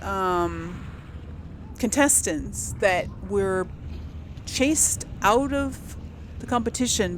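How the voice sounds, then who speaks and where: A woman's voice: mid-range voice, slight background noise. A woman giving casual let's play commentary, chatting to viewers and rambling as she thinks aloud. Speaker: gaming video host.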